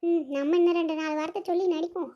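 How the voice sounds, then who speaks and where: A woman's voice: very high voice, normal speed, muffled recording. A woman voicing a resentful, sly elder daughter-in-law who mutters sarcastic asides in a family drama. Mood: bitter, sarcastic. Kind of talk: amateur family drama dialogue.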